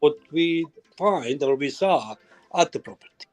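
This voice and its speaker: Man, fairly high voice, halting phrasing, home inspector guest. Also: expressive, soft background music. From former Yugoslavia. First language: Croatian. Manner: halting non-native phrasing. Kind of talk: casual interview.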